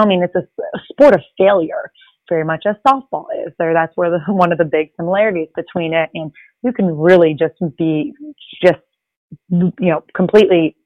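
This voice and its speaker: A woman's voice: fairly low pitch, no room sound. A young adult woman, a native Spanish speaker, talking as a sports reporter guest in an earnest, rambling way with light laughs. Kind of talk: casual conversational interview. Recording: dull lo-fi sound.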